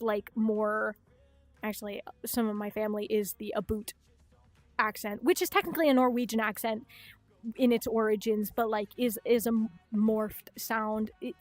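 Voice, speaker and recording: Fast-talking Midwestern woman streamer. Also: a little high, dry recording. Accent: Chicago Midwestern. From Minnesota and South Dakota. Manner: quick, chatty, swears freely. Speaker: livestream host. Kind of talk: casual late-night stream chat. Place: hot bedroom at home.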